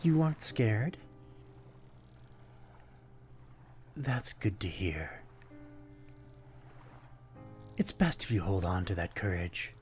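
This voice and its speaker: A man's voice: sits low, big pitch swings, normal speed, darkish tone, a little hiss or hum. A man playing a melancholy, courtly vampire in an intimate ASMR roleplay, speaking politely in old-fashioned phrasing with a predatory undertone. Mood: gentle, coaxing. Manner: old-fashioned, polite, brooding, addressing one listener intimately. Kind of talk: ASMR roleplay, dramatic monologue.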